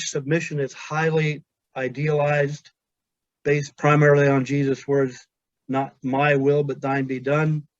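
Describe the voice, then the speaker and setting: A male chaplain whose first language is Pennsylvania Dutch, giving a measured, earnest webinar talk with pauses and restarts. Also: fairly high pitch, no echo. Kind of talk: webinar presentation. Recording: dull, dry lo-fi audio.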